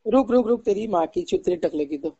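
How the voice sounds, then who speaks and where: A man's voice: high-pitched, expressive, fast, mellow, breathy edge. A man speaking brusquely and repetitively in a confrontational phone call. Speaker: caller. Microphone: telephone. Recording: dull lo-fi phone call recording.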